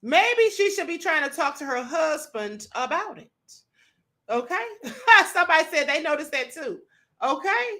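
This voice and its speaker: A woman hosting her own commentary show, reacting to videos in a sassy, animated way and laughing often. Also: sits high, moderate pace. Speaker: show host.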